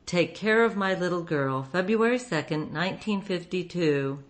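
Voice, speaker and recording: A woman's voice: on the low side, lively intonation, moderate pace. A woman reading a scripted introduction as the host of a radio show.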